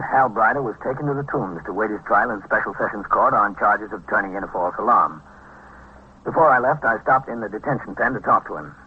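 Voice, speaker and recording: A world-weary American police captain, narrates a radio crime drama like a report. His voice is low and very husky, his delivery clipped and steady. The sound is a dull old radio recording. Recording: dull, band-limited vintage radio recording.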